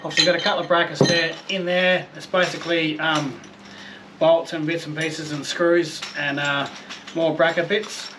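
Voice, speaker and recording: A laid-back Australian man in his thirties talks through camping gear in an easygoing, self-deprecating way, with the odd mild swear word. His voice sits a little high and stays fairly flat in pitch. A faint outdoor hiss sits behind him, as he is recorded on a patio by a camera mic at some distance. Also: normal speed. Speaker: camping vlogger. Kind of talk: casual product review and unboxing. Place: outdoor patio, then a garage.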